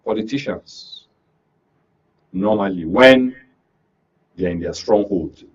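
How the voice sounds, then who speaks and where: A man with a Nigerian accent giving his view as a guest on a political panel, speaking in a hesitant, halting way. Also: fairly low pitch, husky. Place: radio and TV studio. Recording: distant mic, muffled, noisy background.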